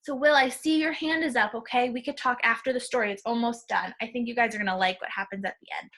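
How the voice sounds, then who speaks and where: A woman with a high, bright voice leads a children's read-aloud. She talks to small children in simple words, stepping out of the story to explain and gently instruct. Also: steady tone, fast. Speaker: teacher reading a picture book aloud to young children.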